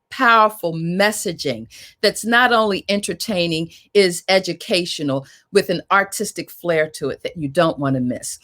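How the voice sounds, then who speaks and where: A woman's voice: medium pitch, fairly bright tone. A woman moderator with a mid-range voice leads a virtual panel. She speaks conversationally but with emphasis, addressing guests and audience directly as a host. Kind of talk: hosting a virtual panel discussion.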